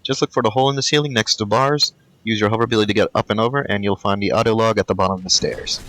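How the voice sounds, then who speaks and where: A man narrating a game walkthrough voice-over, rattling off directions at a fast clip. His voice sits fairly low but sounds bright and forward, and he stays brisk and matter-of-fact. A faint noise sits underneath. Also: steady tone, poor audio.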